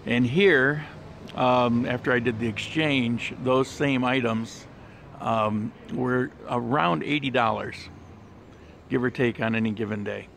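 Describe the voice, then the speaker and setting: A relaxed man narrates a walking tour of a mall, chatting casually with lots of ums and uhs. His voice sits at an ordinary middle pitch. Clear traffic and mall noise run behind him on a handheld camera mic. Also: takes it slowly. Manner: chatty, relaxed, with frequent fillers. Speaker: travel vlogger. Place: outdoor mall terrace and shopping center.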